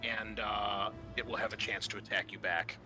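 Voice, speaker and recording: A man's voice: fairly low pitch, fairly even intonation, moderate pace, sharp tone, soft background music. A man acting as game master, narrating a tabletop roleplaying game in casual, sweary language.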